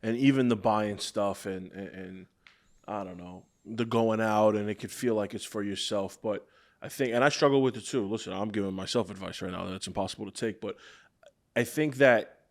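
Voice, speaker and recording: A man's voice: fairly low pitch, normal speed. A man hosting a podcast, earnest and laid-back, who talks casually and calls people "bro". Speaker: podcast host. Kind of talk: casual chat.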